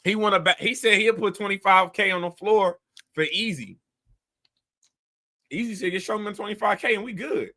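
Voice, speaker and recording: A man's voice: high voice, moderate pace, pauses often, pushed and bright. A man hosting a casual commentary show, talking bluntly and animatedly in a rambling way. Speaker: host.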